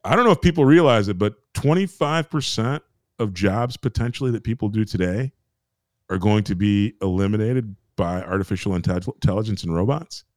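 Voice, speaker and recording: A man's voice: on the low side, a little fast, no echo, clean studio sound. An American man co-hosting a podcast, ranting in casual chat, emphatic and repetitive, with restarts and swearing. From United States. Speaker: podcast co-host.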